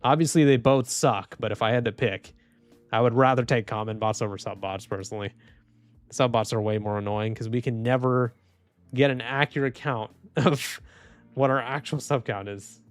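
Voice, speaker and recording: A man live streaming and reading chat, speaking softly and calmly with frequent restarts. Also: mid-range voice, moderate pace, dry recording. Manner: soft-spoken, calm, trailing off with restarts and small laughs. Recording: dry close mic, faint music underneath.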